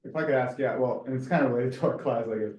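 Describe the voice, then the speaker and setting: A man's voice: medium pitch, racing, highs cut off. A male student asking a long, tentative question in class, full of hedging fillers. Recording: distant in an echoey room.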